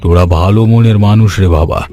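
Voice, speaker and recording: A man's voice: fairly low pitch, fast, dark tone, faint music behind, natural voice. A man voicing an affectionate, fatherly old village uncle in a cartoon story. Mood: kindly, blessing. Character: kindly old village uncle blessing hardworking young farmers. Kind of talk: Bengali cartoon voice acting.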